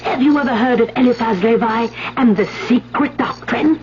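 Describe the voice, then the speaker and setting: A woman's voice: a little high, normal speed, lightly acted. An actress playing an older village witch, sly, fervent and commanding, in an incantatory 1970s radio drama style. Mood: sly, persuasive. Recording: muffled, noisy 1970s radio broadcast.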